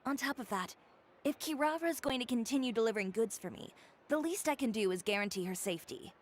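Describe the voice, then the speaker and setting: An actress playing a confident, blunt, haughty young fashion designer in a video game, speaking with cool, dry self-assurance. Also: female voice, on the high side, animated, fast talker, slight background noise, lightly acted. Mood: firm, defiant.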